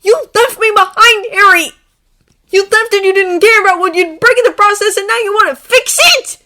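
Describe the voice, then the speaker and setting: Woman acting out a shrill, anguished young man. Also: moderate pace. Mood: anguished fury. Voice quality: shrill, tearful shouting. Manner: emotional, forceful outbursts. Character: anguished, furious young man, betrayed and tearful. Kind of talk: dramatic audiobook character acting.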